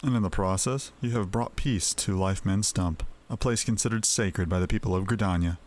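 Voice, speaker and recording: A man voicing an imperious video game figure who hands out trials in grand, old-fashioned phrasing. The voice sits very low, and faint insect chirping carries in the background. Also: normal speed, darkish tone, close to an everyday voice. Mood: lofty. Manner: formal, commanding, archaic phrasing. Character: imperious male quest-giver, testing and manipulative.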